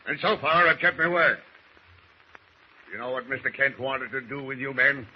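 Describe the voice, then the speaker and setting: An actor playing a scheming, folksy seafaring ship's master who speaks in salty dialect in an old radio drama. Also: male voice, mid-range voice, fairly even intonation, normal speed, natural voice. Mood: angry, commanding. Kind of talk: 1940s radio drama acting. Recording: muffled, noisy old radio recording.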